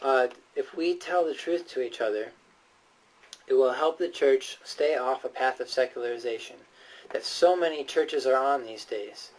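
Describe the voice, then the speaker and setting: Guy doing a preachy speech, earnest, stresses points, bit halting, mic sounds kinda far away. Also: medium pitch. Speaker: speaker giving a prepared speech. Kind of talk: persuasive church-themed speech, sermon-like. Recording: voice distant from the microphone.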